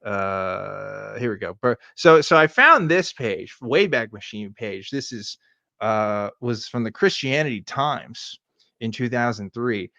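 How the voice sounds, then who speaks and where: A man's voice: on the low side, animated, slow, gravelly, bright, forceful tone, no room sound, highs cut off. A middle-aged American man hosting a casual show, reading aloud and riffing with sardonic, profane asides. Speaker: podcast host.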